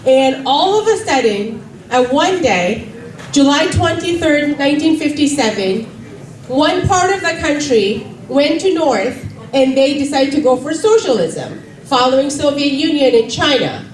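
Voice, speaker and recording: A woman's voice: sits high, lively intonation, measured pace. A woman from South Korea with a Korean accent gives a political speech at a campaign rally and asks the crowd rhetorical questions. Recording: distant mic in echoey room with faint background noise.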